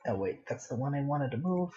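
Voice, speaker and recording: A man's voice: mid-range voice, expressive, a little fast, mellow, breathy edge. A man casually chatting on a livestream while he draws, muttering to himself in a self-deprecating way. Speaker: livestreaming artist and host. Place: at home with a dog nearby. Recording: dull lo-fi home stream audio.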